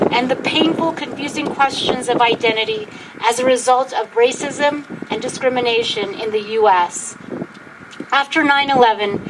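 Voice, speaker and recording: Loud wind noise batters the microphone at an outdoor event. Over it, a woman with a high voice delivers a prepared speech. She speaks formally and deliberately, with a solemn bearing suited to a commemoration. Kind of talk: prepared public speech, read aloud. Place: outdoors at a historic site.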